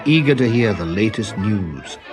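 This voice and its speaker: A man narrating a children's story in a dramatic, deliberate way, with expressive swells and hushes. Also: on the low side. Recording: music behind the voice.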